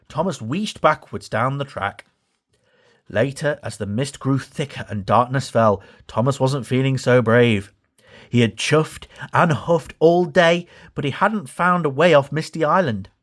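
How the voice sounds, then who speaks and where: A man reading a children's story as a scripted storybook narration. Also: medium pitch. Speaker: story reader.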